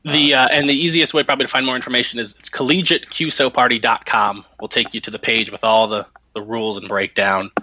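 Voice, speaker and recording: A man's voice: mid-range voice, steady tone, fast, hardly any pauses, bright, forceful tone. A man talking informally as an interview guest, explaining how things work. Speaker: guest, amateur radio contest organizer. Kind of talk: casual interview talk.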